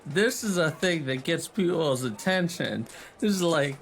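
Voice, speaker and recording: A man with a fairly high voice plays a tabletop role-playing game. He talks slowly in casual slang with swearing, improvising as his character. There is some background noise. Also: lively intonation, gravelly, fairly bright tone.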